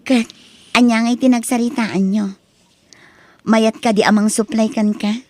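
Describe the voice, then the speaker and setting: A woman's voice: on the high side, steady tone, normal speed, dry recording, lightly acted. A woman playing a doubtful questioning mother. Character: skeptical mother questioning her son. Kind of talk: radio drama acting.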